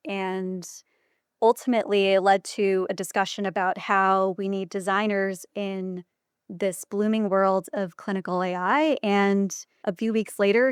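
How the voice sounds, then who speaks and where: Woman, clinician guest, mid pitch, smooth clear tone, reflective interview answers, dry sound. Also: no echo. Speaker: clinician and designer, podcast guest.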